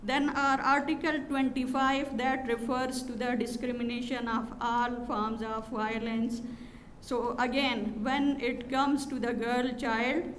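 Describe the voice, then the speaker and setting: A woman addresses a conference in a slightly high voice at an unhurried pace, often pausing on fillers. Her podium microphone picks up the long reverb of a hotel conference hall, with faint noise underneath. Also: level tone. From Pakistan. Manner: measured, with frequent pauses and fillers. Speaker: conference speaker from an NGO.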